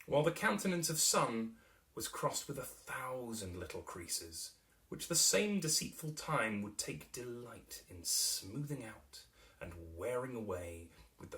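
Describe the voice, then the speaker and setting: A man narrating a classic novel as a dramatic reading, theatrical and expressive, with carefully shaped phrasing. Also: mid-range voice, distant mic. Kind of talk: dramatic literary audiobook narration.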